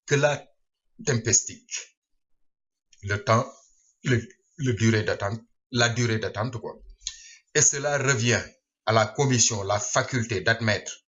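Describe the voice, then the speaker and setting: A man reading a scripted news explainer aloud in short, halting phrases. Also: medium pitch, fairly bright tone, lo-fi sound. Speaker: reader and translator of a news bulletin.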